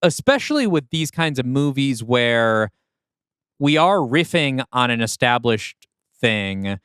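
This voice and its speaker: A man's voice: mid-range voice, big pitch swings, takes it slowly, a bit bright, clean tone, dry recording, studio quality. A man co-hosting a podcast, moving between reading a screenplay aloud and talking about it. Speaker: podcast co-host. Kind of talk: scripted screenplay narration and casual creative discussion.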